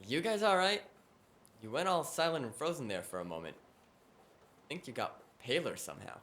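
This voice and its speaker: A man's voice: mid-range voice, big pitch swings, normal speed, fairly bright tone, slight background noise. A man playing a flippant, sarcastic, cocky hacker in a cyberpunk audio drama. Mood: casual. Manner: wisecracking, casual, sarcastic.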